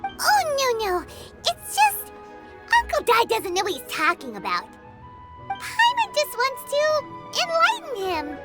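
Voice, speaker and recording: An excitable, childlike little fairy companion from a video game. The voice is piercingly high and squeaky, swooping with chirpy indignation. Bright game music plays loudly behind it. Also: female voice, a little slow, exaggerated voice. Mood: eager, insistent. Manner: chirpy, refers to self in third person. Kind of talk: video game character acting.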